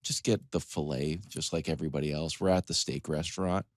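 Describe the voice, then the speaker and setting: A man's voice: extremely low pitch, steady tone, fairly quick, dry recording, clean studio sound. A man hosting a sports talk show, delivering a comedic, conversational monologue with emphatic lists, joking asides and acted-out questions.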